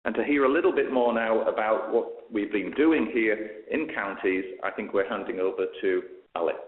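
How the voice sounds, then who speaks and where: A man's voice: fairly low pitch, normal speed. A man presenting informally as a speaker at an online seminar, over a web-conference line. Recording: echoey, narrow phone-like web-seminar line.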